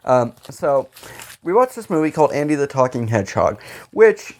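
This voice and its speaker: A man's voice: medium pitch, sing-song, normal speed, slight background noise. A man co-hosting a podcast, chatting casually about movies in a jokey, rambling, sometimes profane way. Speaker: podcast co-host. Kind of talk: casual comedic movie chat.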